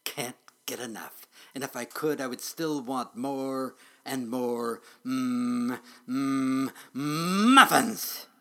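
A man voicing a greedy, bossy storybook dragon with a rumbling, slow and savoring delivery. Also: fairly bright tone. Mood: greedy delight. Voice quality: rumbling, mumbling growl.

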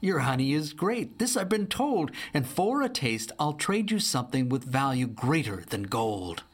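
A man's voice: mid-range voice, sing-song, moderate pace. A man voicing a sly, smiling male bear who speaks in rhymes, in a storybook.

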